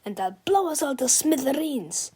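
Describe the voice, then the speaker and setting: A woman voicing an excitable, cocky male villain in a read-aloud children's storybook, loud, brash and gloating. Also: high voice, moderate pace, a little hiss or hum, voice pushed up. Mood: defiant.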